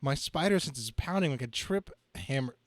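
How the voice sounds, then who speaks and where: A man's voice: mid-range voice, animated, normal speed, no room sound, natural voice. A man voicing a young, harried, wisecracking superhero in a melodramatic, slightly stumbling comic-book reading. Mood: harried, self-pitying.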